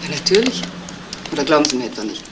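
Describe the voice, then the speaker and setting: Young woman, brisk and defiant fiancée, evasive under questioning, noisy retro radio crime play. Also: deep voice, very animated. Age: young adult. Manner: brisk, defensive, politely evasive. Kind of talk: 1970s German radio crime drama acting.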